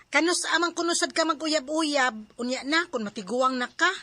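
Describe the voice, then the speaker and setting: A woman's voice: high voice, brisk, bright, forceful tone. An actress playing a frank young woman in a Philippine radio drama. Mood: teasing.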